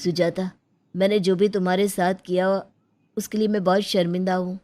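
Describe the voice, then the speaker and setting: A woman's voice: lowish voice, little pitch movement, moderate pace, warm tone, a little hiss or hum, close to an everyday voice. A woman voicing a troubled, apologetic village husband in a cartoon story, pleading earnestly. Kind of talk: cartoon moral story dialogue.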